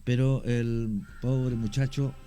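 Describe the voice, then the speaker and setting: A middle-aged Chilean man tells his stories at an unhurried pace, stopping often to restart a phrase. His voice is mellow and dark, with a medium pitch. Soft music plays faintly underneath. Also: little pitch movement. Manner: unhurried, many fillers and restarts, anecdotal. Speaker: guest storyteller. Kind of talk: radio conversation, recounting cases.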